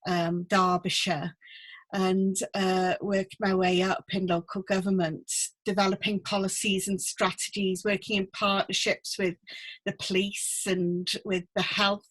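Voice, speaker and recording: A middle-aged woman from North Wales, a guest talking gently and thoughtfully over a video call, with many ums and restarts. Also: medium pitch, little pitch movement, a little slow. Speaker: guest, book mentor. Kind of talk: casual interview chat. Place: home room with full bookcase.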